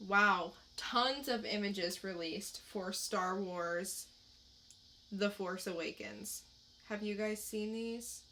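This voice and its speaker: A woman's voice: mid-range voice, slow, sharp tone, a little hiss or hum. A woman co-hosting a podcast, sharing entertainment news in casual chat. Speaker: podcast co-host.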